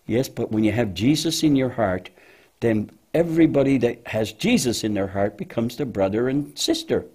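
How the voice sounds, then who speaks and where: A man voicing a patient, motherly female cow with a deep voice in a children's church puppet show, gently and hesitantly explaining things. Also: expressive, normal speed, husky.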